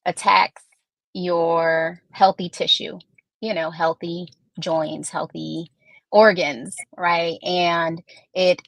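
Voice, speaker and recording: A woman from Puerto Rico speaks as a podcast guest, with a lowish voice for a woman. She talks in a measured way and explains things step by step. Her voice is recorded dry and close. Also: little pitch movement, vocal fry, fairly bright tone, no echo. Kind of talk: conversational interview answers.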